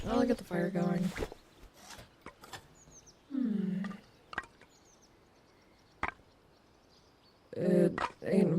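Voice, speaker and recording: A woman's voice: mid-range voice, vocal fry, heavy background noise. A woman playing a cocky but caring animatronic wolf rock star in an ASMR audio roleplay, teasing and affectionate.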